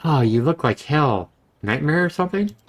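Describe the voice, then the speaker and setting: Guy doing a cocky young bro character, video game, excitable and braggy, slangy. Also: fairly high pitch, moderate pace, a little hiss or hum, pitched above the performer's own voice. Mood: concerned, teasing. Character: cocky young male best friend, excitable, boastful errand boy.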